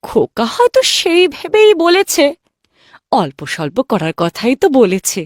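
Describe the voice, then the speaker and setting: A woman's voice: on the high side, wildly swooping pitch, normal speed, no echo, clean studio sound. A woman playing a soothing, indulgent housewife and mother in a cartoon, coaxing and placating as she talks. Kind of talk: cartoon voice acting.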